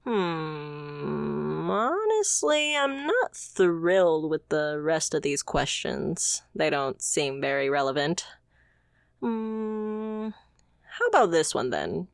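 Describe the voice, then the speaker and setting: A woman acts out a supervillainess in an intimate ASMR roleplay. She speaks low and slowly, with confident, condescending poise and a teasing, amused edge. The sound is dry, with hardly any room in it. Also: very animated, creaky, clean tone, no echo, heavily acted. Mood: teasing, intrigued. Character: confident female supervillain boss, haughty yet playfully amused.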